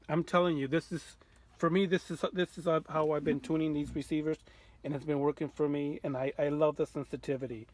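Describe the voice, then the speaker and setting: A man's voice: on the high side, no room sound. A man giving an informal hands-on tutorial as a hobbyist, often repeating phrases as he explains. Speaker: radio repair hobbyist demonstrating.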